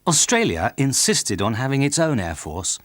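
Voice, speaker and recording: A man's voice: mid-range voice, very animated, moderate pace, a bit bright, no room sound. A man reading scripted narration for a documentary as its narrator.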